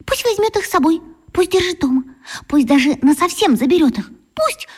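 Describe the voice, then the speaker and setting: Cast: a dreamy young schoolgirl in a radio play, very high, earnest and wistful. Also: lively intonation, a little fast, a little mellow. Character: shy, dreamy little schoolgirl longing for a friend.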